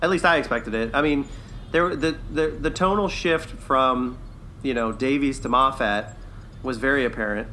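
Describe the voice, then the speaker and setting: A man's voice: mid-range voice, normal speed, pushed and bright, a little hiss or hum. A man co-hosting a podcast in casual chat, upbeat and good-humoured. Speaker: podcast co-host.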